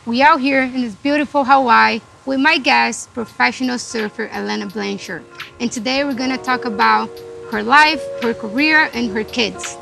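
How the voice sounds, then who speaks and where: Brazilian lady host, gravelly fry in her voice, cheery, clear music behind her. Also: a little high, normal speed, poor audio. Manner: upbeat, friendly questioning. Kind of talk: podcast host intro and interview. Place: outdoors on a beach.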